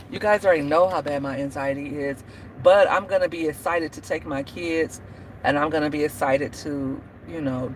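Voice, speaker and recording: A woman's voice: low voice, a little hiss or hum. A woman in her forties chatting casually on a livestream, laughing often and talking to viewers by name. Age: 40s. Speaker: livestream host. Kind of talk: casual live chat.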